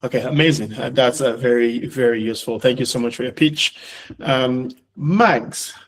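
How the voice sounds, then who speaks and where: Male event moderator, mid-pitched, casual, polite and encouraging live hosting. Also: normal speed, rough recording.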